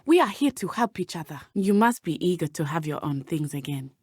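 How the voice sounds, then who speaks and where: A woman voicing a brave, earnest teenage witch schoolgirl in a video game, speaking in a formal, measured way. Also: sits low, big pitch swings, a little mellow, breathy edge, no room sound, studio quality, full character voice. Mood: serious, determined. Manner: formal, measured, avoids contractions. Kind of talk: scripted game companion dialogue.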